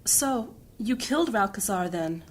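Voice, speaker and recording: A woman's voice: mid-range voice, lively intonation, unhurried. A woman playing a poised, strong-willed guild noblewoman in a fantasy audio drama, formal and articulate in her insistence. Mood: curious, probing.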